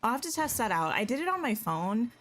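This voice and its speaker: A chatty woman streaming a game, greeting viewers and thinking aloud in a relaxed, everyday way. Her voice is a little scratchy today, and faint game music plays underneath. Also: on the high side, fairly quick. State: slightly scratchy voice. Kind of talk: casual live-stream chat while playing.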